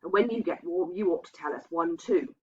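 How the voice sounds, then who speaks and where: A woman's voice: fairly low pitch, brisk, close to an everyday voice. Woman playing an eager young girl, muffled. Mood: eager welcoming. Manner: eager, gushing. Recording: dull, muffled lo-fi sound.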